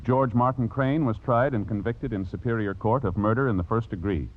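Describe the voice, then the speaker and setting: A man announcing formally and solemnly, reading a measured script in the style of a 1950s radio broadcast. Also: mid-range voice. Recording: tinny old broadcast, loud music behind.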